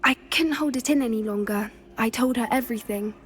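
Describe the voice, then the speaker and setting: A gentle schoolgirl at a wizard school. Her voice sits fairly high and sounds earnest and soft-hearted, with a slight hesitancy, in naturalistic video game dialogue. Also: fairly quick, breathy edge. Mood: warm, sincere.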